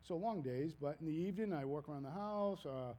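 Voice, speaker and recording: A man in his fifties with a Maine accent preaches in a loose, storytelling way, circling back and restarting his sentences. His voice sits a little high yet sounds mellow and warm. He pokes fun at himself as he goes. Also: animated, a little fast. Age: 50s. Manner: rambling, folksy, self-deprecating, frequent restarts. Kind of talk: casual sermon storytelling.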